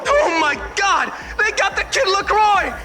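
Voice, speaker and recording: An actor plays an excitable male sports-style commentator with a very high-pitched voice. He is hyped and exclamatory, with loud music behind him. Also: a little slow, exaggerated voice. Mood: amazed, excited. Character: excitable male live-event commentator, breathlessly amazed.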